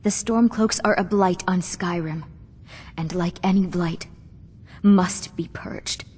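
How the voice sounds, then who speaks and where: Husky, grieving young noblewoman ruler. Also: lowish voice, slow pace, darkish tone. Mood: solemn, resolute. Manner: formal, regal, solemn. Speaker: video game character. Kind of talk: scripted game dialogue. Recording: long hall reverb.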